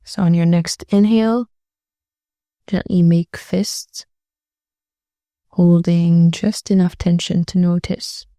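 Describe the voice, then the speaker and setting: A woman with a very dark, mellow voice that sits a little low and often settles into creak. She guides a meditation gently and soothingly, with unhurried phrases and long pauses. The sound is dry and close, with no sense of room. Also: level tone, dry recording.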